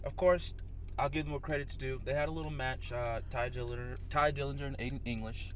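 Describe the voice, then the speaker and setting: A man's voice: mid-range voice, fairly even intonation, a little fast. A man co-hosting a casual wrestling reaction chat outdoors, rambling with many fillers. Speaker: co-host. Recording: distant mic outdoors with loud noise.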